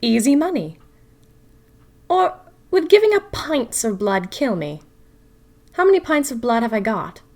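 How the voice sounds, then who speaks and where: A woman's voice: a little high, big pitch swings, unhurried, pauses often, a little mellow, heavily acted. A woman playing a theatrical, wry young woman of the 1920s confiding anxiously in her diary for an audio drama. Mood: giddy, wry. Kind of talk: first-person audio drama diary narration.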